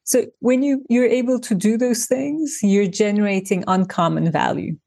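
A woman's voice: mid-range voice, fairly even intonation, moderate pace, gravelly, dark tone, no echo, clean studio sound. A woman from Turkey being interviewed, explaining her ideas thoughtfully through examples, with frequent restarts. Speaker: designer and author, interview guest. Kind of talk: reflective interview conversation.

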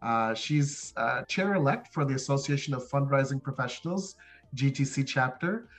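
A man co-hosting an interview show, introducing a guest in an enthusiastic, formal way with hesitant pauses. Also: mid-range voice, expressive, soft background music. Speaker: podcast co-host.

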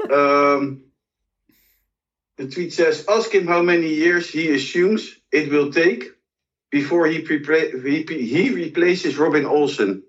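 A man co-hosting a casual interview, asking questions in a jokey way. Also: on the high side. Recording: slightly dull, lo-fi audio.

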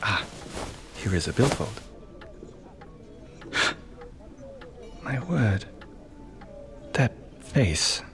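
An actor playing an earnest, admiring sidekick to a detective in a comic murder-mystery audio drama, speaking formally and a little hesitantly. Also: male voice, on the low side, expressive, drawn-out words, vocal fry, long pauses, noisy background, heavily acted. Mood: flustered. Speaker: sidekick and narrator.

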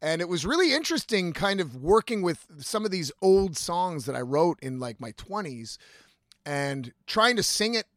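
A man's voice: high-pitched, sing-song, moderate pace, fairly bright tone, dry recording, clean studio sound. A man in his thirties hosting a casual, confessional solo podcast, rambling candidly and swearing freely. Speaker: podcast host, singer-songwriter.

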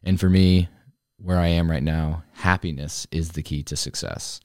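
Man, forties, very deep voice, dry close sound, earnest, rambling reflective talk. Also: steady tone, moderate pace, no echo. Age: 40s. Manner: earnest, rambling, with many restarts. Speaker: vlogger, fitness coach. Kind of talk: reflective solo talk to camera.

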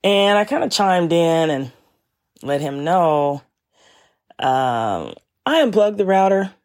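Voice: medium pitch, very animated, slow pace, darkish tone. A podcast host telling a story in a casual monologue, laid-back and wry with frequent chuckles.

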